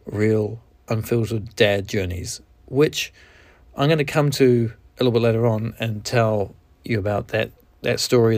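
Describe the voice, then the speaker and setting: A man with a New Zealand accent talks alone into the mic as a podcast host, low-pitched and gravelly. His delivery is reflective and halting, often stopping and restarting his sentences. The sound is dry and close, with no room around it. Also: a little mellow, dry recording. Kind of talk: candid solo podcast monologue.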